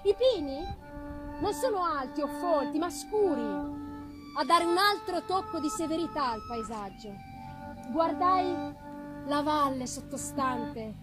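A woman reading a gothic novel aloud as dramatic narration, with solemn, theatrical, deliberate phrasing. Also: high voice, expressive, music in the background. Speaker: literary reader. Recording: noisy live performance recording. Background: background noise and ambience of live performance.

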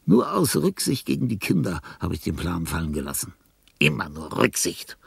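An actor playing a frail, dying old composer in a radio play, self-pitying and grandiose, rambling theatrically with a breathy, husky voice that often falls to a whisper. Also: male voice, deep voice, huge pitch swings, normal speed, dry recording, full character voice. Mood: bitter, self-pitying. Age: older. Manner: theatrical, rambling, with sudden swings from murmur to outburst. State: frail, ailing.

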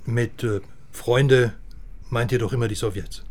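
A man's voice: mid-range voice, steady tone, a little slow, a little mellow, natural voice. A man playing a naive, questioning West German civilian in a radio drama. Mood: puzzled. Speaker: actor.

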